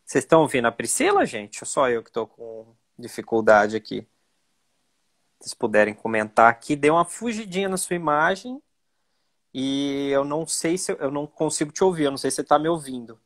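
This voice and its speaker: A man's voice: medium pitch, big pitch swings, brisk, fairly bright tone. A man hosting a live stream on a video call, talking informally and straight to the viewers.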